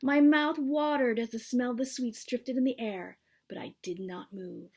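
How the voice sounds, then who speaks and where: A woman's voice: on the high side, normal speed, gravelly, darkish tone, slightly rough. A woman reading aloud from a children's storybook as its author, in a narrative style. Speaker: author reading their book.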